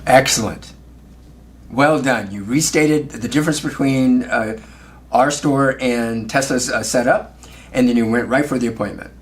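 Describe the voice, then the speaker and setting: Male sales coach, mid-pitched, instructive, giving call critique with praise. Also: unhurried, a little hiss or hum. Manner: instructive, gives praise, often ends with check questions. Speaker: automotive sales trainer and coach. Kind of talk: coaching commentary on a phone call.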